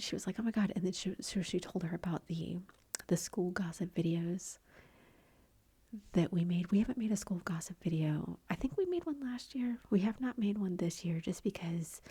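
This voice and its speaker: A middle-aged woman chatting in a soft, rambling whisper for her ASMR channel, confiding and talkative. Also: mid-range voice, slightly rough. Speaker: ASMR YouTuber.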